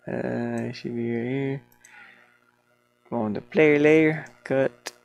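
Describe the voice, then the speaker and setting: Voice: mid-range voice, creaky, no echo. A game developer talking casually to themselves while they work, muttering with playful asides. The speaker's voice could be a man's or a woman's.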